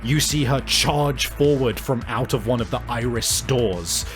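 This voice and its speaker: Man running a tabletop game over music. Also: mid-range voice. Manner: halting, explanatory, repeats words for emphasis. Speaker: game master. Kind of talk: improvised tabletop game narration and rules talk.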